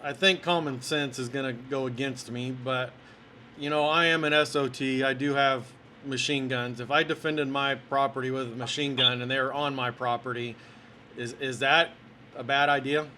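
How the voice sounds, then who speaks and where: A man's voice: mid-range voice, steady tone, normal speed, sharp tone, slight background noise. A man in his fifties talking casually and unscripted as a YouTube host, hesitant and self-effacing. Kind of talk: casual unscripted talk, intro and phone interview.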